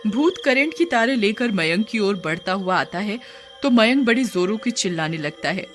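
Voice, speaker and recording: A woman's voice: medium pitch, expressive, normal speed, a bit bright, faint music behind. A woman giving scripted narration for a scary cartoon story.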